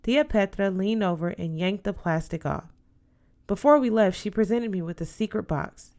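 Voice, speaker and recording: A woman reading a first-person story aloud as an expressive scripted narration. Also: mid-range voice, normal speed. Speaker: audiobook reader.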